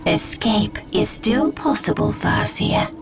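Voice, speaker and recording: A woman's voice: medium pitch, very animated, takes it slowly, creaky, full character voice. A woman playing a cool, watchful alien warrior adviser in a video game, with formal, theatrical speech. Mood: cool, urgent. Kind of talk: video game character acting. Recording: music underneath.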